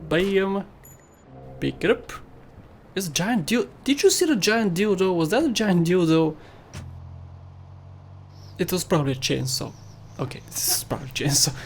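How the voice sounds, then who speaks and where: Chatty Bulgarian-accented man, high voice. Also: animated, normal speed, pauses often, slight background noise. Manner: chatty, laughs often, playful self-mocking. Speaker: gaming YouTuber. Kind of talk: casual gameplay commentary.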